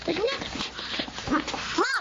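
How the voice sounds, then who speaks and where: A woman with a Filipino accent playing an excitable, hungry young child in a radio drama. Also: slow, dull audio, background noise, lightly acted. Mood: excited.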